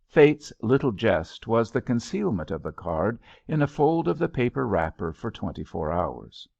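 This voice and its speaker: A man reading literary fiction aloud as an audiobook narrator, in an expressive, measured storytelling manner, on a slightly dull recording. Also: fairly low pitch, creaky, dry recording. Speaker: audiobook reader.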